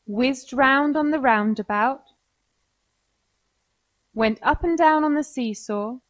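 A woman's voice: fairly high pitch, dry recording, poor audio. A woman with an American accent narrating a scripted children's story.

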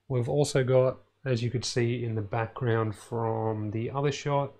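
Low, flat-voiced man, slightly hoarse. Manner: relaxed, matter-of-fact, many "um"s and "you know"s. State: slightly hoarse, losing his voice. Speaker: electronics channel host. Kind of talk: casual video update. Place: home electronics lab, indoors, small room.

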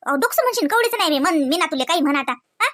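Casting: an actor as a fussy, chatty cartoon village woman, rural Varhadi Marathi accent, rapid bright delivery, nagging colloquial manner. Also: very high voice, animated, full character voice. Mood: insistent, nagging. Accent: rural Varhadi Marathi, Vidarbha. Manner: colloquial, nagging, repeats questioning tags. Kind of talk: comedy cartoon dialogue in rural household chat.

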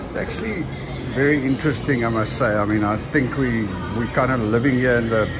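Slow-talking South African man. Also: mid-range voice. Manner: chatty, musing aloud, frequent ums. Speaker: vlogger. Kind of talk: casual walking vlog. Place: large indoor supermarket. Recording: loud store hum behind voice.

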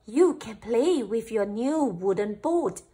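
A woman voicing a gentle, loving mother dragon in a storybook, tender and coaxing. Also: fairly high pitch, expressive, slow pace, breathy edge, heavily acted. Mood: fond, coaxing.

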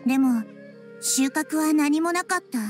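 A woman playing a gentle, wise little girl deity in an anime game, speaking in a composed, polite, reflective way. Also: high voice, measured pace. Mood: pensive. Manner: composed, polite, reflective, feminine mature phrasing. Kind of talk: anime video game acting. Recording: music behind the voice.

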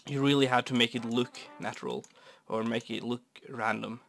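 A young man from Norway, raspy and stuffy from a cold, rambling easily with many fillers while commenting on a building game. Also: mid-range voice, fairly even intonation, normal speed. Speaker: Minecraft builder. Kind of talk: casual gameplay commentary. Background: soft guitar music.

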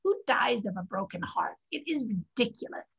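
A woman's voice: fairly high pitch, lively intonation, normal speed, gravelly, husky, exaggerated voice. A woman acting a practical, defiant young woman in a staged drama reading over a video call. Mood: resolute, sad. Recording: dull video-call audio.

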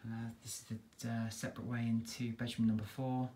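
A man with an English accent giving a relaxed, chatty walk-through of a house for sale, filmed on a handheld phone indoors and in the garden. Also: medium pitch, a little fast. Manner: relaxed, chatty, plain sales patter. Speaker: estate agent giving a house tour. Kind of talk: walk-through property tour narration. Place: inside a detached house and its back garden. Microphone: handheld phone camera.

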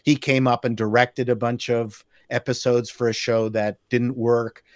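An older man from Canada, a guest telling stories with lively, acted-out quotes on a video call from a home room. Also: mid-range voice, a little slow, dry recording, studio quality. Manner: animated storyteller who acts out quotes. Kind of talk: casual anecdote telling. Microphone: desk mic on a video call.

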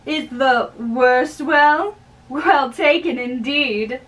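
High-voiced young woman reading drama slowly. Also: a bit bright. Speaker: amateur reader of a play. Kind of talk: dramatic reading, acting out dialogue. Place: small bedroom, indoors. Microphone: camera a few metres away. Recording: distant camera in a bedroom.

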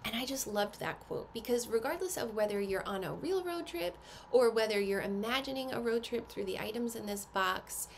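A woman's voice: on the high side, animated, moderate pace, slight background noise. An American woman in her thirties talks chattily and instructively to camera, presenting products and addressing the viewer with questions. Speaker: presenter of subscription box unboxing. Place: small indoor home office.

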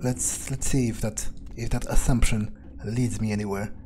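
A man narrating a video game playthrough in character, casual and wry, swearing often and filling pauses with ums and uhs. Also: on the low side, steady tone, warm tone, slight background noise. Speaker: gamer roleplaying his character.

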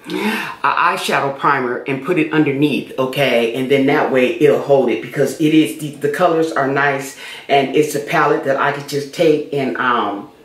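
A woman's voice: a bass voice, normal speed, echoey room. A middle-aged woman chatting casually while doing her makeup for a beauty vlog, repeating herself as she goes. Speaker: beauty vlogger. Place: small room indoors.

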